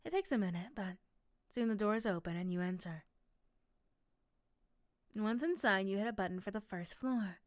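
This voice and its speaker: Female narrator for ASMR roleplay. Scripted second-person storytelling, medium pitch, very dry sound. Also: expressive, moderate pace, long pauses, no echo.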